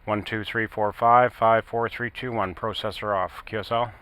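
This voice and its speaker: A man working as an amateur radio operator, talking in a halting, matter-of-fact way in a casual technical on-air chat. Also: fairly low pitch, steady tone, heavy background noise.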